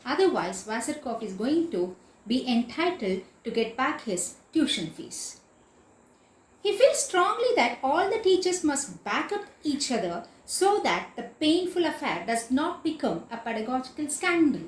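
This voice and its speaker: Woman, teacher, very lively intonation, emphatic didactic delivery, echoey room recording. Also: sits high, unhurried. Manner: didactic, emphatic, repeats and spells out key words. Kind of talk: explanatory literature lecture.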